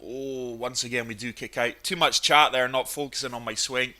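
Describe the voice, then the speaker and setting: A man's voice: medium pitch, fairly even intonation, moderate pace, pushed and bright. A man with a cold, chatting enthusiastically through golf gameplay commentary. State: has a cold, sniffly. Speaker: gaming channel host.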